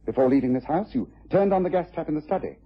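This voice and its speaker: An actor playing a shrewd, methodical English gentleman detective, precise and commanding, in a mid-century radio drama style. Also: on the high side, expressive, quick pace, slightly rough. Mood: assured, accusing. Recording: dull, muffled vintage radio recording.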